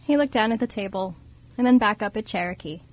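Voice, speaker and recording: A woman with a medium-pitched voice narrates a radio play. She reads scripted story prose between the lines of dialogue, as an amateur narrator. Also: fast, low-quality recording.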